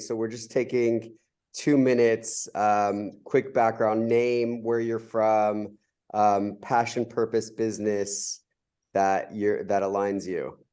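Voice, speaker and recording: A man's voice: lowish voice, dark tone, clear, resonant tone. A man hosting a video call meeting, inviting people to introduce themselves. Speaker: meeting host. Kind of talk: casual video call moderation.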